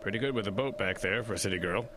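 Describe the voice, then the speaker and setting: An actor playing a casual, plain-spoken local park ranger in a radio drama, with water ambience behind. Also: male voice, lowish voice, racing, noisy background, close to an everyday voice. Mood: easygoing.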